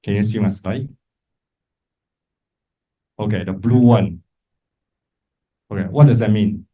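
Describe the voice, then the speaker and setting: A man's voice: lowish voice, slow, mellow. Man teaching, frequent check-in questions. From Malaysia. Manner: encouraging, informal, frequent check-in tags like "right?" and "okay?". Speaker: Buddhist monk teaching a class. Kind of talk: interactive lecture to a class with remote listeners.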